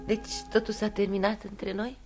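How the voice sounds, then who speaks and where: Woman acting proud wounded lover in her thirties, medium-pitched, halting, intimate, wry, radio drama. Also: level tone, music in the background, lightly acted. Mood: wounded, hushed. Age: 30s. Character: proud, wounded thirty-four-year-old mistress, wry and probing.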